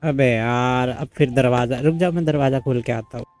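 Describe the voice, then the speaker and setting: A man's voice: medium pitch, rapid-fire, darkish tone, faint music behind. A man giving casual, informal live commentary on a game, blunt and joking. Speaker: game livestreamer.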